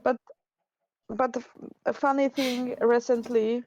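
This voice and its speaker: A woman from Poland with a Polish accent, talking slowly and haltingly with many restarts in casual chat on a game stream. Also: fairly high pitch, level tone, a little mellow, no room sound. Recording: dull lo-fi stream audio.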